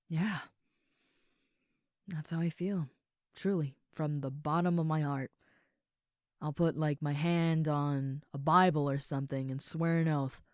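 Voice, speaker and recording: Low-voiced, gentle ogre roommate played by a woman. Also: no echo, clean studio sound. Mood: sincere, bashful. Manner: soft, hesitant, casual, reassuring. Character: gentle, shy, caring young female ogre roommate. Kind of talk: intimate comfort audio roleplay, addressed to the listener.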